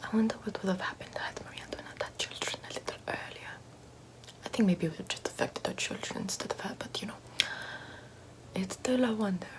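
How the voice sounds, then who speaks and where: A woman's voice: mid-range voice, fairly quick, lightly acted. A woman whispering as a vain, pompous aristocratic lady doing makeup in an ASMR roleplay, in a haughty, self-admiring, gossipy way.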